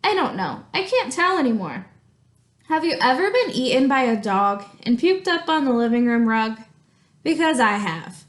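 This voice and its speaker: Woman as a traumatised, high-voiced chewed-up crayon. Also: very animated, moderate pace, roomy sound. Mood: bewildered. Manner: melodramatic, bewildered. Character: traumatised, confused tan crayon, chewed and fuzzy.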